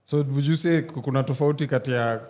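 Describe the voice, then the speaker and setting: A man's voice: mid-range voice, fast talker, darkish tone. A man who hosts a podcast interview, asking probing questions and slipping in Swahili. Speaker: podcast host. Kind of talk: casual interview.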